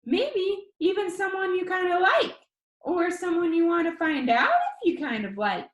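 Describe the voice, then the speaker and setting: A very high, excitable young male video host from a storybook, as a woman reader acts him out. He is upbeat, a little weird and emphatic, urging listeners on with big swoops of pitch. The room rings slightly around the voice. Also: moderate pace, roomy sound, lo-fi sound. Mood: playful, encouraging. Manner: bubbly, emphatic, encouraging delivery. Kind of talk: theatrical audiobook character voice.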